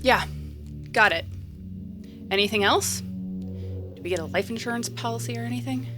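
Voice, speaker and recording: Terse young woman played by an actress, highish voice. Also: normal speed, over music, close to an everyday voice. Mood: guarded. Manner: terse, dry. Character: determined, guarded young female repairperson. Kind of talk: audio drama acting.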